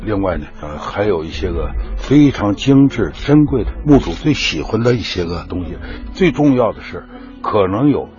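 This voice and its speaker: Low-voiced middle-aged male expert. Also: big pitch swings, normal speed, darkish tone. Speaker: archaeology expert. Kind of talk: unscripted explanatory interview. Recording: muffled interview clip, faint music.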